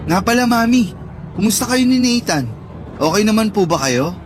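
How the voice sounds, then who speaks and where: A man's voice: high-pitched, big pitch swings, moderate pace, a little hiss or hum. A man acting an affectionate, pleading son who speaks respectfully to his mother in a radio drama.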